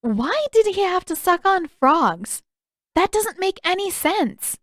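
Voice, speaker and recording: A woman's voice: very high-pitched, very animated, slow pace, dry recording, clean studio sound, pitched above the performer's own voice, full character voice. A woman voicing a caring but bossy teenage girl in an audiobook, emphatic and full of questions. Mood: exasperated.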